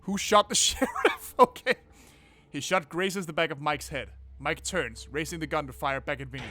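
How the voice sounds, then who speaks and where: A man hosting a game playthrough, reading narration aloud and commenting in a casual, expressive, sweary way. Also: on the high side, normal speed, no echo. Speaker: gaming video host. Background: faint game music.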